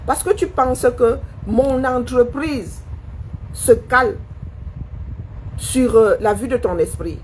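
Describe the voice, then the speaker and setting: A woman holding forth on a live stream, scornful and confrontational, peppering a critic with rhetorical questions. Her voice sits somewhat high and leaps around expressively. A faint hum of background noise runs under the recording. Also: darkish tone, low-quality recording.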